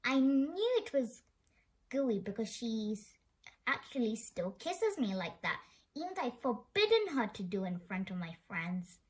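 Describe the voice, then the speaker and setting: A woman reads a children's story aloud in an expressive way. Her voice sits a little high and moves up and down a great deal. She occasionally trips on a word and carries on. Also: a little slow. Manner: expressive, with stumbles over words. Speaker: storybook reader.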